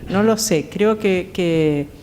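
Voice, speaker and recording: A woman's voice: slow, warm tone. A woman with an Argentine accent speaking thoughtfully as a panel guest, tentative and searching for her words. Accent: Argentine Spanish. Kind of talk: thoughtful conversational talk. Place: theatre stage. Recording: background noise with low rumble.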